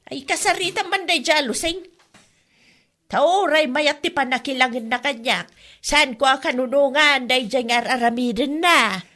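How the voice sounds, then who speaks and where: High, bright, plaintive indebted wife. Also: female voice, normal speed. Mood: aggrieved. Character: put-upon indebted wife, defensive and complaining. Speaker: radio drama actress. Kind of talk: Ilocano comedy radio drama acting.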